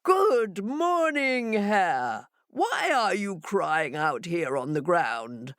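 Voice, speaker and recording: A woman voicing a proud male jackal who talks brashly and shouts. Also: on the low side, very animated, extremely slow, no echo, studio quality, exaggerated voice.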